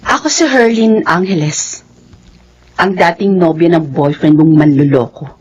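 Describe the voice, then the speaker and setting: A woman's voice: lowish voice, measured pace, husky, muffled recording. An actress playing a bitter, heartbroken young jilted woman in a Filipino radio drama, tearful and accusing. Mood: cold, accusing.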